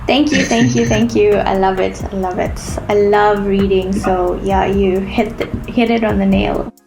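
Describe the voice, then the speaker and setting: A woman from South Africa talks casually on a video call, friendly and a bit hesitant. Her voice sits in a middle pitch, and music plays clearly behind her. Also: steady tone, unhurried. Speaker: program participant.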